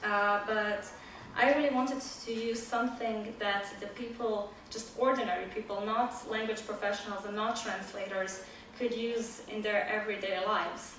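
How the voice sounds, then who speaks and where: A woman's voice: mid-range voice, steady tone, fairly bright tone, slight background noise. Croatian woman lecturing in an echoey hall. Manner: measured, with frequent "uh" fillers. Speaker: conference speaker, PhD student. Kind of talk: academic conference talk. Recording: echoey hall audio from far off.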